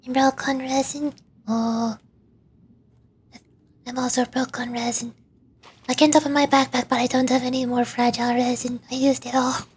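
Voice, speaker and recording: High-pitched woman chatting on a game stream. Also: little pitch movement, moderate pace, pauses often, breathy edge. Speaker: livestreamer, vtuber. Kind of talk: casual gaming livestream chat.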